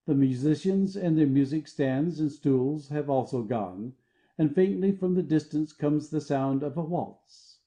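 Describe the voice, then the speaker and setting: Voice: medium pitch, mellow. A narrator reading the stage directions of a play as scripted narration.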